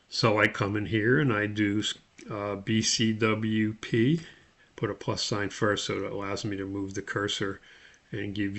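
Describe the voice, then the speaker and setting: A man giving a methodical, step-by-step spoken tutorial as an instructor, with a creaky voice. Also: fairly low pitch, a bit bright. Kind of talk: spoken screen-share tutorial.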